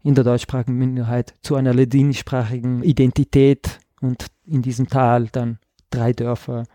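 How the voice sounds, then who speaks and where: A man's voice: medium pitch, flat delivery, normal speed, mellow, no echo, studio quality. A man from South Tyrol with a South Tyrolean German accent, an artist talking reflectively as a podcast guest, searching for words with many fillers. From South Tyrol, Italy. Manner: searching, full of fillers and restarts, thoughtful. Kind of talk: reflective conversational interview.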